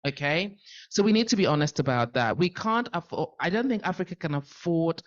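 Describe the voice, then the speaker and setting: A man at a policy roundtable with a loud voice, speaking forthrightly and stressing his points by repeating them. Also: on the high side, lively intonation, fairly quick, muffled recording. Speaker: panel participant.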